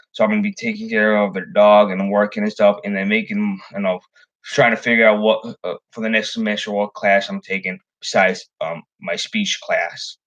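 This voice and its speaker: A man's voice: lowish voice, fairly bright tone, highs cut off. A young man from the Chicago area chatting casually on his fishing livestream, rambling with many ums and restarts. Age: young adult. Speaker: fishing channel host.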